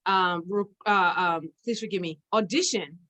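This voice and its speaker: A woman hosting a conversational interview, chatty and halting as she searches for her words. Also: fairly low pitch, pushed and bright. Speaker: interview host. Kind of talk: conversational interview with an informal guest introduction.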